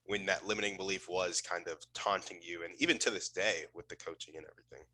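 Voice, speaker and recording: A man's voice: sits low, steady tone, quick pace, pushed and bright, poor audio. A man hosting a casual interview, enthusiastic and affirming as he responds and asks questions. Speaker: podcast host, interviewer.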